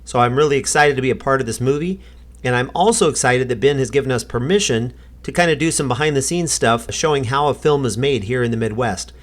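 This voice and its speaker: A man's voice: mid-range voice, quick pace. A middle-aged American man hosting a vlog, talking in a casual narrating and chatting style. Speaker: vlog host.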